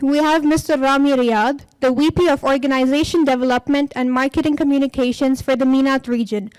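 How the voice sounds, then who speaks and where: A woman's voice: high-pitched, darkish tone, no room sound, low-quality recording. A woman hosting a university workshop, reading a formal, ceremonious introduction of the speakers. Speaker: event host.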